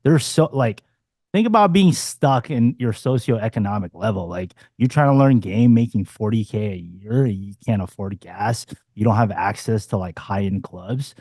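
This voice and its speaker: Dating coach, male, 40s, blunt and profane, mellow tone, dry close sound. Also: lowish voice, expressive, normal speed, dry recording. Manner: blunt, swearing, punchy asides. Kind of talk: casual panel discussion.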